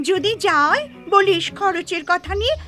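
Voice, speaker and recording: A woman's voice: very high voice, normal speed. A woman voicing a bustling, chatty mother in a cartoon, explaining and arranging things in a motherly way. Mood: chatty, practical. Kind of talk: cartoon voice acting. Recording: loud music bed under voice.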